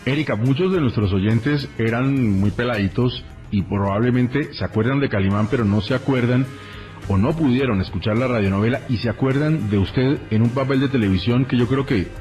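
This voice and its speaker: A man's voice: medium pitch, brisk, a little mellow, faint music behind. A man with a Colombian accent conversationally interviewing guests on the radio.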